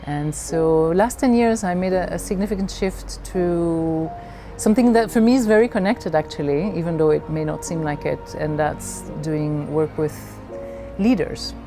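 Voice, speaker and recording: A middle-aged woman from Belgium telling her personal story in a reflective way, haltingly searching for her words. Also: low voice, over music. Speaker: leadership coach.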